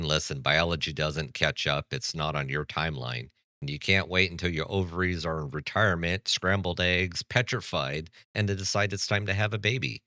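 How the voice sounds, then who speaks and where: Guy with a super deep voice but a sharp bright tone, ranting blunt commentary, dry close sound. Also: dry recording. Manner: blunt, lecturing, sarcastic. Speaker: commentary channel host. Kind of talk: reaction commentary.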